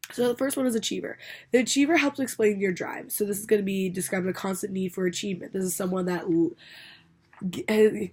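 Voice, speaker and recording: A woman's voice: mid-range voice, a little mellow, slightly rough. Young female student, congested and scratchy from a cold, casual class presentation with fillers. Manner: reads a list, then explains casually with fillers and restarts.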